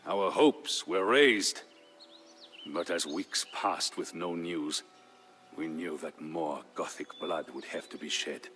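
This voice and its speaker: A man narrating as a grave Visigoth storyteller, recounting war history in a solemn, measured, theatrical way for a historical game. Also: low voice, fairly bright tone. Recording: music behind the voice. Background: music.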